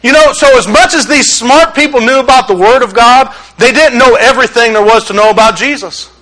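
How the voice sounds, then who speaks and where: A man's voice: very high voice, a little fast, pushed and bright, low-quality recording. A man from Detroit preaching a sermon as pastor, conversational, folksy and openly sarcastic. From Detroit, USA.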